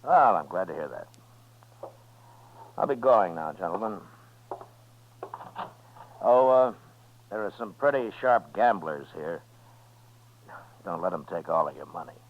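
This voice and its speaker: A man playing a calm, steely American Old West marshal in a 1950s radio western, speaking in a laconic, measured way. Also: sits low, a little hiss or hum. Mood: dryly cool. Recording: dull vintage radio recording.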